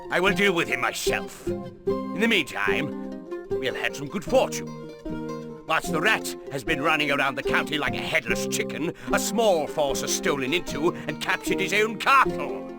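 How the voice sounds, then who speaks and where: A man playing a stern noble rebel lord, giving a game mission briefing with formal, measured authority. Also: fairly high pitch, lively intonation, natural voice. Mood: stern, urgent. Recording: music under the voice.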